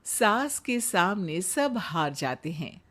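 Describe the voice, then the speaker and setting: A woman narrates a Hindi moral tale. She reads from a script as a storyteller, at a slow pace. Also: on the low side, big pitch swings, fairly bright tone.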